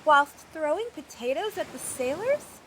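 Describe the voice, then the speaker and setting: A woman's voice: sits high, sing-song, a little slow, pushed and bright, a little hiss or hum, exaggerated voice. A woman voicing a devout, eager young priest girl in a fantasy audio drama, effusive, flustered and reverent. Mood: puzzled, eager. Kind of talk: scripted fantasy audio roleplay.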